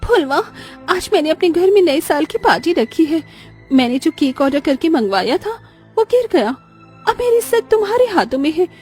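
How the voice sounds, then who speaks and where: A woman's voice: very high-pitched, very animated, moderate pace, darkish tone, soft background music, voice pushed up. A woman voicing a haughty, dramatic rich young daughter-in-law in a moral-story cartoon, exclaiming theatrically. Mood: pleading.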